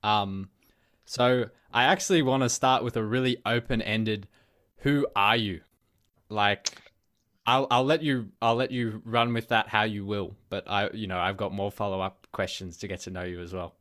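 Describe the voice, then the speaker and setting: A man's voice: lowish voice, steady tone, normal speed, a bit bright. A man hosting a casual interview, curious and asking many follow-up questions. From Australia. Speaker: host.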